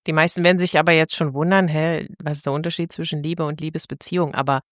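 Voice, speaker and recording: A woman co-hosting a casual podcast, her voice hoarse and lowered by a cold. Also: flat delivery, quick pace, no room sound, studio quality. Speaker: podcast co-host. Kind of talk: casual chat.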